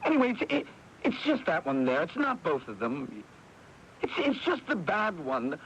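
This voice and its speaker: A man's voice: high voice, wildly swooping pitch, moderate pace, a bit bright, full character voice. A man playing an agitated, childish, obsessive brother in a radio drama, stammering, whining and insistent. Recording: dull, noisy AM radio recording.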